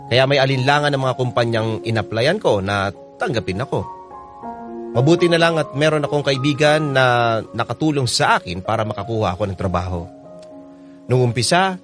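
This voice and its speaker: Earnest mid-pitched drama narrator, a man over music. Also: lightly acted. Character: earnest, troubled letter writer recounting family hardship. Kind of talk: scripted first-person radio drama narration. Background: background music.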